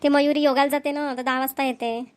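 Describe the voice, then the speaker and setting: A woman's voice: sits high, flat delivery, fast, lightly acted. A woman voicing a homely older mother-in-law in a family cartoon, chatty and good-humoured, with a Vidarbha Marathi accent. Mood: matter-of-fact. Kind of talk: amateur family cartoon voice acting.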